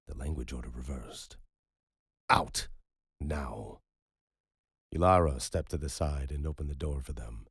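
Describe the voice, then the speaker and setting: A man narrating a dark story in a slow, brooding, measured way. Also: very deep voice, creaky, husky, dry recording, studio quality.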